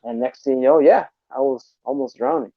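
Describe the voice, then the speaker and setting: A man's voice: medium pitch, no echo. A man hosting a livestream, chatting casually and chuckling often as he reads out viewer comments over a video call. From desert region. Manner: laid-back, chuckles often, drawn-out filler. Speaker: livestream host. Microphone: remote video call mic. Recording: dull, dry call audio.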